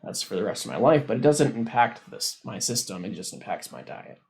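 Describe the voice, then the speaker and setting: A man hosting a science livestream, casually explaining a research paper as he reads, in a halting, informal way. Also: on the low side, lively intonation, vocal fry, darkish tone. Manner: halting, informal, thinking aloud. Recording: noticeable background noise on livestream.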